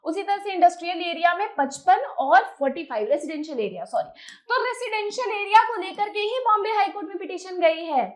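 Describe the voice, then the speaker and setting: A woman's voice: extremely high pitch, lively intonation, fairly quick. An Indian woman giving an explanatory lecture in an emphatic, conversational way, posing questions and answering them herself. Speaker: teacher, exam coaching presenter. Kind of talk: explanatory lecture mixing Hindi and English.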